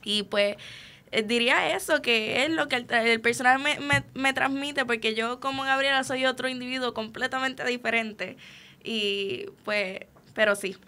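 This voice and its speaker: A woman's voice: fairly high pitch, unhurried, pushed and bright. A young woman with a Puerto Rican accent answering casually as an interview guest, often hesitant as she searches for words. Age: young adult. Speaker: interview guest, student actor.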